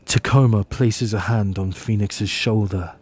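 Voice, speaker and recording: A man narrating an audio drama in a solemn, measured storytelling manner. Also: fairly low pitch, steady tone, darkish tone, husky. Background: music underneath.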